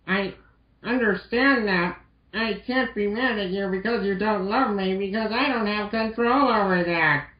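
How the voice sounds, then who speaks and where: Slow, heartbroken young girl, acted by a woman. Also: mid-range voice, lightly acted. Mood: wounded, sad. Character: heartbroken young girl, gentle, wounded, lovesick. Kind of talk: amateur fan fiction dramatic reading. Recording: muffled, echoey home recording.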